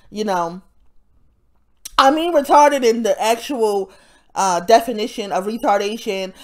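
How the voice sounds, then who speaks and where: A woman talking straight to her viewers in a loose, rambling vlog. Her voice is nasal and stuffed up from congestion, and her speech is peppered with profanity and short bursts of laughter. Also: mid-range voice. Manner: profane, rambling, frequent self-interrupting laughs. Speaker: vlogger. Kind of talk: casual rambling monologue.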